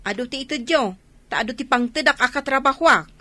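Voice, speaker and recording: A woman's voice: sits high, a little fast, bright, forceful tone. A woman acting a nagging village wife who worries about her family in a radio drama. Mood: complaining.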